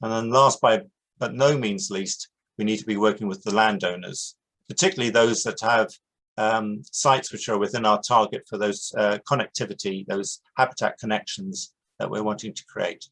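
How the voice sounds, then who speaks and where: Male project director, measured talk, low-ish voice. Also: low-quality recording. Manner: steady, measured, with hesitations. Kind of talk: prepared online talk. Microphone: video call.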